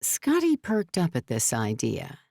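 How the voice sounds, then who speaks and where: A woman's voice: a bass voice, huge pitch swings, a little slow, gravelly, warm tone, no echo, clean studio sound. A woman reading scripted story narration as an audiobook narrator.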